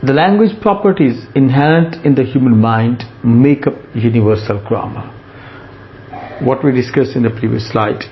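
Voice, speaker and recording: A man giving a recorded lecture, explaining slowly, repeating points and pausing with fillers. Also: medium pitch, warm tone, poor audio. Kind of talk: recorded lecture over slides.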